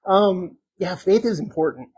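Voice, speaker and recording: A man running for sheriff, answering audience questions at a community meet and greet in an earnest, conversational way, hoarse and full of filler ums. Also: sits high, animated, measured pace, darkish tone, highs cut off, a little hiss or hum. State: hoarse, losing his voice. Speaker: sheriff candidate.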